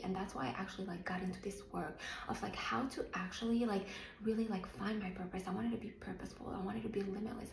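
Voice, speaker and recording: A woman from Morocco talks with earnest conviction as a personal development coach, often reaching for "like" while she works out her points. Her voice sits in a middle pitch, and the recording has a noticeable roomy echo. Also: darkish tone. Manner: earnest, heavy use of "like" fillers. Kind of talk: motivational talk to camera.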